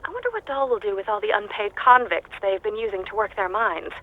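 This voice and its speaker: A woman's voice: medium pitch, expressive, fairly quick. An actress voicing a lonely, unhinged woman scientist who is recording audio logs alone on a hostile planet. She speaks in a dry, clipped, detached way that drifts into manic glee. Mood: erratic. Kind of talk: game audio log monologue. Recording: dull lo-fi game audio log.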